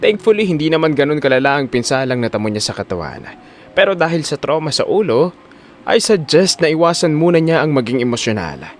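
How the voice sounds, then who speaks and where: A man's voice: fairly high pitch, very animated, brisk, a little hiss or hum. A man voicing a calculating, professional-sounding doctor in a Filipino audio drama. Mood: smooth, professional. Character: scheming male doctor, smooth and professional, secretly calculating.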